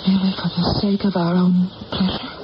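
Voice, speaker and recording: A woman's voice: fairly low pitch, flat delivery, fairly quick, background noise, natural voice. An actress playing an ancient British queen in a radio drama, regal, anxious and grave in a theatrical way. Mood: anxious, tender. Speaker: lead actress and narrator. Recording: dull old radio recording, background music.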